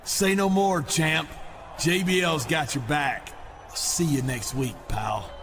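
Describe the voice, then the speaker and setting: Loud bully wrestler, fake buddy-buddy, highish voice, hissy game audio. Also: male voice, slow pace. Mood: fake friendly. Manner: loud, boastful, turns to insults. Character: blustering male wrestling champion, fake-friendly bully. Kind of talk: video game cutscene acting.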